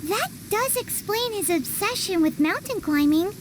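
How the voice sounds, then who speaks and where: A woman voicing a tiny, eager, childlike fairy companion in a video game, squeaky and exclamatory. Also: expressive, measured pace, background noise. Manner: refers to self in third person, exclamatory. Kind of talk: video game character acting. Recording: music playing behind the voice.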